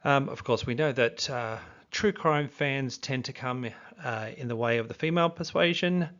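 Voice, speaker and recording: A man's voice: medium pitch, moderate pace, fairly bright tone, dull audio. Youthful-sounding fifty-year-old man, rambling. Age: 50s. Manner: rambling, many fillers and restarts. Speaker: true crime YouTuber. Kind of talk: casual video commentary.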